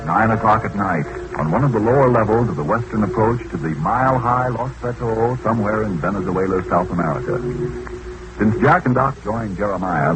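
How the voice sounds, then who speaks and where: Man to narrate a 1940s-style radio serial: fairly deep, scripted, with plenty of old-recording noise.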